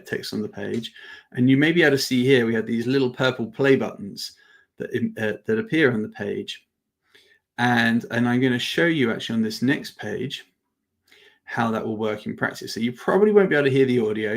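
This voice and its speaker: A man presenting an informal live product demo as a webinar host, with halting phrasing and repeated words. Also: medium pitch, vocal fry.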